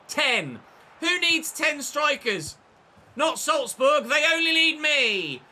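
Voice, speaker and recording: Bright-voiced excitable gaming commentator man. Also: extremely high pitch. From England. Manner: chatty, excitable, shouts on goals. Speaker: gaming YouTuber. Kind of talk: live game commentary.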